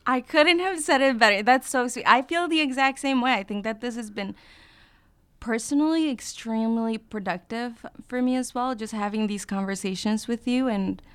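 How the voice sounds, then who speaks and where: A woman's voice: fairly high pitch, moderate pace, clean tone. A woman co-hosting a podcast, chatting casually and laughing with her co-host.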